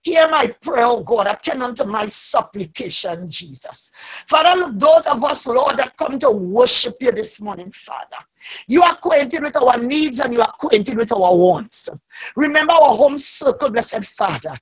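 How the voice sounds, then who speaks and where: High-pitched woman praying fervently. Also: expressive, husky. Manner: impassioned, pleading, drawn-out calls that rise and fall. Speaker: church member leading prayer. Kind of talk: fervent extemporaneous prayer, chanted cadence.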